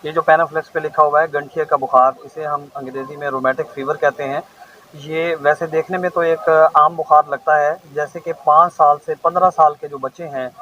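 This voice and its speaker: A man speaks in a rather high voice, calm and explanatory as he works through medical terms. The sound is dull and lo-fi, with a faint hiss of outdoor noise behind him. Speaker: pediatric cardiologist. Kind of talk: explaining to a news reporter. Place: outdoor awareness walk. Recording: dull lo-fi news field audio.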